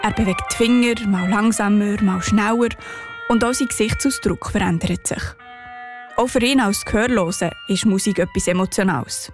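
A woman's voice: medium pitch, normal speed, music in the background. A woman with a Swiss German accent narrating a scripted radio report.

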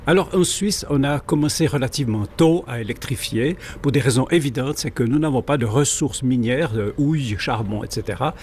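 A man with a Swiss French accent speaks at a middle pitch and explains in thorough, digressive detail. Faint noise sits under the recording. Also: fairly quick, hardly any pauses, darkish tone. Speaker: guest, local rail historian. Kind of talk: radio interview.

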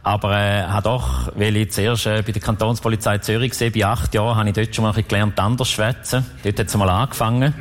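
A man's voice: on the low side, fairly even intonation, brisk, hardly any pauses. Man, Appenzell Swiss German, middle-aged, easygoing, humorous. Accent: Swiss German, Appenzell dialect. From Appenzell, Switzerland. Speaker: former police spokesman, guest. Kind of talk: casual interview talk.

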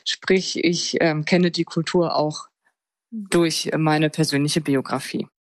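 A woman's voice: low voice, fairly even intonation, takes it slowly, no room sound. A woman journalist from Germany, giving interview answers in a thoughtful, explaining way with some fillers. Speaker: freelance journalist, guest.

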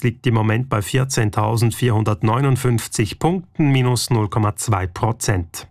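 A man reading a radio news bulletin in a measured, even way. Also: mid-range voice, no echo. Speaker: radio newsreader.

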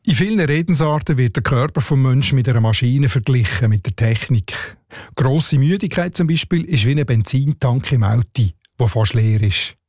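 A man with a Swiss German accent presenting a scripted radio feature on sayings, in a measured, explanatory way. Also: mid-range voice, darkish tone, dry recording. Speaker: radio dialect reporter. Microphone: studio microphone. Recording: dry studio sound.

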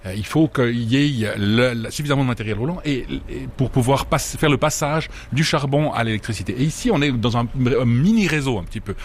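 A man explains technical matters informally. He is measured and precise, with some hesitation. His pitch is average, and light ambient noise sits behind him. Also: expressive.

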